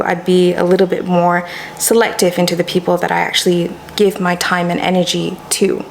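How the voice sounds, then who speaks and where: A woman's voice: lowish voice, gravelly, a little hiss or hum. A young woman from Malaysia whose first language is Malay, talking in an earnest, reflective personal vlog as a YouTuber. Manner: earnest, thoughtful, with soft fillers.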